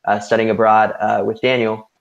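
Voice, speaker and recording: A young man talks about himself in a relaxed, halting way, leaning on fillers. His low voice stays flat and has a gravelly edge. The sound is dull and lo-fi. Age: young adult. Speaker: student ambassador. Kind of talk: casual self-introduction.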